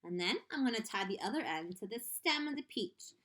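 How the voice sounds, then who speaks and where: A woman's voice: fairly high pitch, animated, brisk, fairly bright tone, voice pushed up. A woman reading as a firm, confident boy. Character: bold clever young boy hero.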